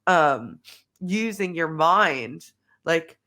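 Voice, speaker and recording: A woman's voice: deep voice, lively intonation, drawn-out words, sharp tone. A woman with a cold, a podcast guest who thinks aloud in casual conversation, hedging often with "like". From Australia. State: has a cold, sniffly. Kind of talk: casual conversation over drinks.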